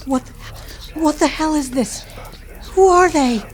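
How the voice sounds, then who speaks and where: A woman's voice: high voice, slow pace, slight background noise. A woman playing a wife on a cabin getaway, speaking emotive dialogue in a horror audio drama. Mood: panicked. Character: anxious wife on a cabin getaway gone wrong.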